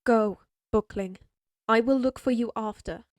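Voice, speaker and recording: A woman's voice: on the high side, a little slow, a little mellow, no echo, natural voice. A woman acts out an imperious middle-aged lady of a fantasy castle. She speaks formally and with clipped command, in a very dry, close recording. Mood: angry, commanding. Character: stern, imperious noble mother of a lady knight. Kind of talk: scripted fantasy audio roleplay acting.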